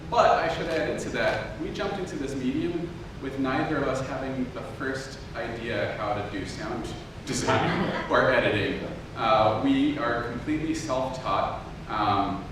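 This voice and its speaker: A man giving an informal lecture to an audience as an audio drama producer, in a casual, self-deprecating way. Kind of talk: informal talk with audience questions. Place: indoor room with an audience. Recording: very echoey room, distant mic, noisy rumble.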